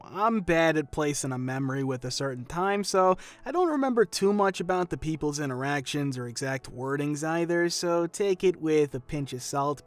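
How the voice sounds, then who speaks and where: A man narrating a reader's story in a lively, expressive way, now and then laughing softly. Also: a little high.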